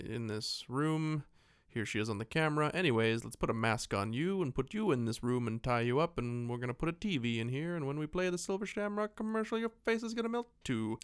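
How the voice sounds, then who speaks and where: A man's voice: mid-range voice, clean tone, no room sound, studio quality, lightly acted. A man voicing a chatty, smug movie villain who rambles in a self-aware, mock-grandiose way while explaining an evil plan.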